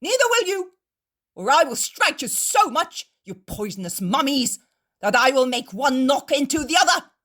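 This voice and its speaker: A woman reading a story aloud, voicing a robust male innkeeper who shouts and threatens with scorn. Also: on the high side, wildly swooping pitch, extremely slow, slightly rough, pitched above the performer's own voice, heavily acted. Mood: threatening. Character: robust burly male innkeeper, fierce and mocking.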